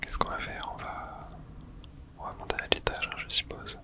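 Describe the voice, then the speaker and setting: Someone whispering throughout an ASMR let's play, narrating the game and reading its texts aloud in a hush. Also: normal speed. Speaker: host playing and narrating a game.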